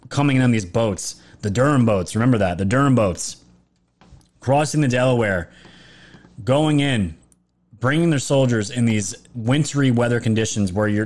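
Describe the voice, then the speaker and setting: A man's voice: medium pitch, darkish tone. A man with a sore, fading voice talking through a casual livestream monologue as a political commentator, rambling and emphatic, often restarting his phrases. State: throat sore, losing his voice.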